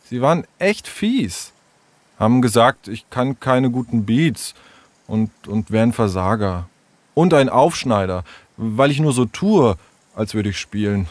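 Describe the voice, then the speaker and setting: A man's voice: mid-range voice, dry recording. A man voicing a hurt, self-doubting schoolboy in a children's story, hesitant and subdued.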